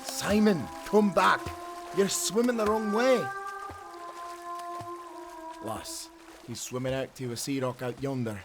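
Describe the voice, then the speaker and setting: An actor playing a stern but merciful sailor in an audio drama, with firm, old-fashioned seafaring speech. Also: male voice, fairly high pitch, slow pace, long pauses, over music. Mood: urgent calling. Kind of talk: dramatic audio roleplay acting.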